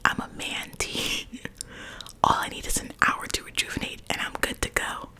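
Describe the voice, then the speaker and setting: A woman's voice: moderate pace, bright, forceful tone. A woman voicing a friendly, teasing young man in a whispered story reading. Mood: playful.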